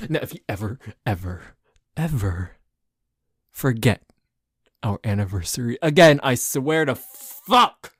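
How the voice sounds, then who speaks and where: A man's voice: medium pitch, expressive, unhurried, long pauses, slightly rough, no echo, studio quality. A man playing a possessive, demanding yet playful yandere boyfriend in an intimate roleplay monologue, with theatrical delivery. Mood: controlled menace, jealous. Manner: theatrical, swinging between gushing and soft menace.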